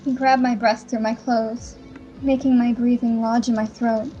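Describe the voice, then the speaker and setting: A woman's voice: fairly high pitch, little pitch movement, normal speed, mellow, muffled recording, close to an everyday voice. A woman voicing a proud, anguished young princess heroine in a game fan dub, earnest and indignant. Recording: music behind.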